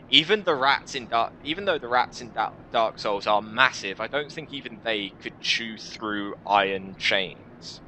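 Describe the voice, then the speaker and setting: A man hosting a gaming video, reading a visual novel aloud in an expressive, theatrical way with casual asides. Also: on the low side, a little hiss or hum, low-quality recording.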